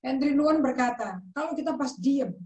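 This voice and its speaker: A woman's voice: high voice, animated, normal speed, mellow, reverberant, muffled recording. A woman pastor teaching in a conversational sermon style, mixing in English words and asking rhetorical questions.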